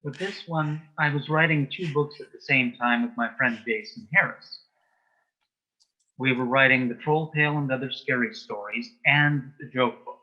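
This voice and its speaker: Husky guy being interviewed, bone-dry deadpan jokes and stories, kinda dull lo-fi sound. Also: medium pitch, normal speed. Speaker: interview guest, author and former folklorist. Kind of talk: conversational interview, telling anecdotes and jokes.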